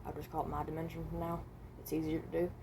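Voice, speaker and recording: A woman voicing a reluctant, resigned animatronic rabbit from another dimension in a fan roleplay, speaking haltingly and correcting herself. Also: sits low, completely flat delivery, noisy background. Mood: weary, resigned. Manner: hesitant, halting, self-correcting.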